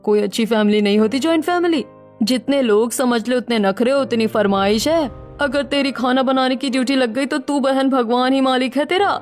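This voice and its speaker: A woman's voice: high-pitched, moderate pace, darkish tone, soft background music, lightly acted. A woman voicing a cynical married friend, lecturing a bride emphatically in a scripted family drama. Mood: cautioning.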